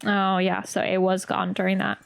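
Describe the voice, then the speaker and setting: A woman's voice: mid-range voice, monotone, normal speed, vocal fry. A woman giving casual reaction commentary as she plays a game, hesitantly thinking aloud. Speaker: streamer reacting to a game playthrough. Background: soft game music.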